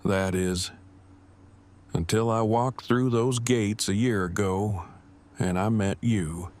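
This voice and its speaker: A man playing an awkward, bookish groom in an audio drama, sincere, with stiff, measured, wordy phrasing. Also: on the low side, steady tone, darkish tone. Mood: reflective. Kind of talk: fan audio drama acting.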